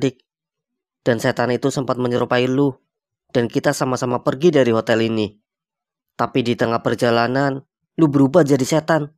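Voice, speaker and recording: A man's voice: medium pitch, no room sound, clean studio sound, close to an everyday voice. A man with a Jakarta accent playing a spooked young hotel guest in a cartoon horror comedy. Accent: Jakarta Indonesian. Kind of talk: animated horror-comedy voice acting.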